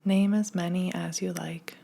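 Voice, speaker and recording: Female meditation guide: lowish pitch, gravelly fry at phrase ends, gentle and hushed scripted read with unhurried gaps. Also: steady tone. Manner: gentle, soothing, hushed delivery with long pauses.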